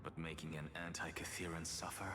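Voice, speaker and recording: An actor playing a calm, wry, scheming male alchemist genius in a game. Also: rumbling low, fairly quick. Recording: game audio with reverb and music.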